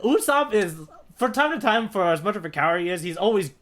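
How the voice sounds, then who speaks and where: Enthusiastic man, slightly high voice. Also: expressive, quick pace, a bit bright. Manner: informal, enthusiastic. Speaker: podcast co-host. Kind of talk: casual chat about manga.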